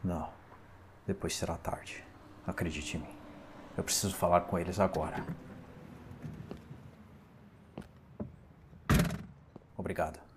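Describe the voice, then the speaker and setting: A male actor with a Brazilian accent playing a frightened, exhausted man on the run in an audio drama, speaking in a haunted, urgent, halting way. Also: low-pitched, level tone, background noise, close to an everyday voice. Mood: urgent, insistent. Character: frightened, exhausted man fleeing an unseen invasion.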